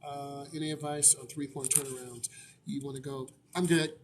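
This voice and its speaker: A man's voice: medium pitch, little pitch movement, moderate pace, slight background noise. A man, a driving instructor, casually answering viewer questions in a live stream, chatty with frequent fillers.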